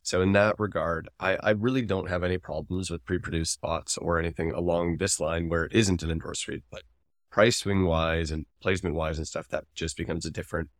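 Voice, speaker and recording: Male podcast co-host, low register, conversational and opinionated explainer, very dry sound. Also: steady tone, a little fast, no room sound. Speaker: podcast co-host, advertising commentator. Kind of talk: casual podcast discussion.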